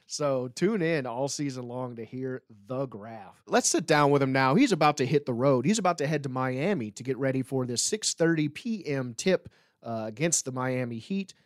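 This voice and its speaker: A man's voice: medium pitch, lively intonation, normal speed, no room sound, clean studio sound. A man hosting a sports podcast in a casual, chatty style. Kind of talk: casual chat.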